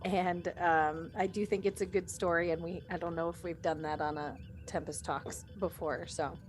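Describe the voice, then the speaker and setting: A woman's voice: on the low side, steady tone, moderate pace, faint music behind. A woman moderating a casual panel chat on a video call in an informal, conversational style. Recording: noisy video call audio.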